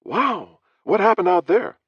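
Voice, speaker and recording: A man voicing a tough, sharp-tongued female mercenary in a brash, cutting tone. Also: high-pitched, expressive, a little slow, breathy edge, dry recording, pitched above the performer's own voice, heavily acted. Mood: wry. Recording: dry, slightly dull audio.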